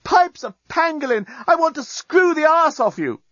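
A man's voice: a little high, wildly swooping pitch, brisk, fairly bright tone, breathy edge, no echo, dull audio, voice pushed up, full character voice. A man voicing an eager, shamelessly lusty alien journalist in a comic science fiction audiobook, blurting and exclaiming. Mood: excited yelling.

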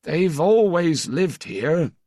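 A man's voice: on the high side, animated, takes it slowly, mellow, dry recording, clean studio sound, pitched above the performer's own voice. A man voicing a timid little old village priest who speaks hesitantly and stammers.

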